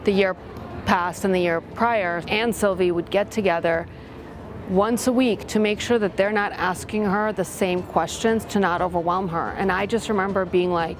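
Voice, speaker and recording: A woman's voice: medium pitch, steady tone, moderate pace, a little hiss or hum. A woman from Latvia, a school parent and board member, giving a candid testimonial with frank, self-deprecating humor and open feeling. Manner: heartfelt, open about feelings. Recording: music bed under the voice.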